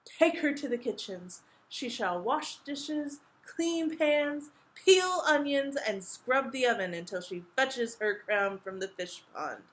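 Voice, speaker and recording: A woman voicing a loud, commanding, exasperated king and father in a children's storybook. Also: fairly high pitch, unhurried, few pauses. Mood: furious. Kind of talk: children's storybook read-aloud.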